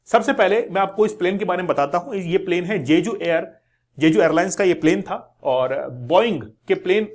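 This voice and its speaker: Man, Indian accent, high-pitched voice, educational presenter, step-by-step news explainer, repeats key words, English terms mixed in. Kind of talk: explanatory news lesson to viewers.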